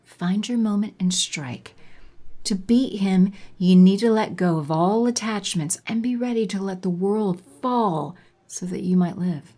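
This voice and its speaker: A woman's voice: medium pitch, unhurried, mellow, lightly acted. A woman playing a haughty, condescending villainous sorceress in an audio drama, with theatrical, menacing delivery. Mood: grave warning.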